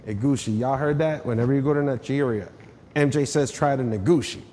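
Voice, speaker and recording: A man's voice: medium pitch, a little fast, mellow. A man hosting a podcast, talking casually with a guest, laughing a lot and often restarting his sentences. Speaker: podcast host. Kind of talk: casual interview chat. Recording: long reverb, faint ambient noise.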